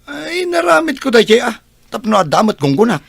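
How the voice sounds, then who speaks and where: An actor with a Filipino Ilocano accent playing a hot-tempered, defensive grown son who drives for a living, combative and indignant in radio drama style. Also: male voice, very high-pitched, sing-song, brisk, creaky, a bit bright, slightly rough, no echo, exaggerated voice. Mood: sullen, aggrieved.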